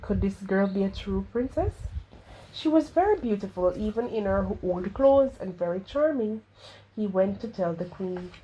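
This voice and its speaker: A woman reading a children's story aloud in a careful, expressive storytelling manner, with slight stumbles. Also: medium pitch. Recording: clearly noisy home recording.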